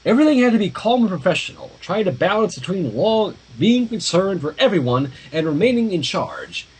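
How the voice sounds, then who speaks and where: A man reading a story aloud on a livestream with expressive, dramatic narration and casual asides, in a husky voice. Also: sits high, a little fast, rough recording. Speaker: fan fiction reader.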